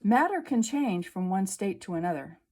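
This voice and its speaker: A woman teacher reading a children's science book aloud, slowly and clearly, at a table indoors. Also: mid-range voice, very animated, darkish tone. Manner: slow, clear storybook reading. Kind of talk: read-aloud lesson for children.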